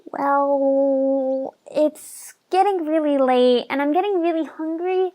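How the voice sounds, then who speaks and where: A woman playing a friendly adventurer with a gentle, tentative manner in a fantasy audio drama. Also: high-pitched, slow. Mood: hungry, polite.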